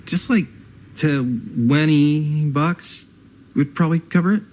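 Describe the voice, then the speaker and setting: An actor playing a nervy, earnest young American brother in a video game drama, speaking casually and hesitantly, eager to please. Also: male voice, a little high, takes it slowly, darkish tone. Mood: sheepish pleading.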